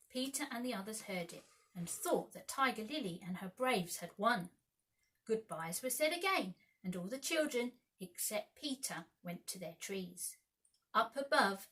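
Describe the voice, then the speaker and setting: A woman narrating a children's storybook aloud with expressive, deliberate dramatic phrasing. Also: medium pitch, rough recording. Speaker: primary school teacher reading aloud.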